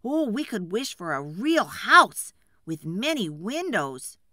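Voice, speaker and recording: A woman's voice: mid-range voice, sing-song, takes it slowly, pushed and bright, no echo, clean studio sound, exaggerated voice. A woman voicing a meek, apologetic male woodcutter in a children's folk tale, hesitant and pleading. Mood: hopeful. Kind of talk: storybook character voice.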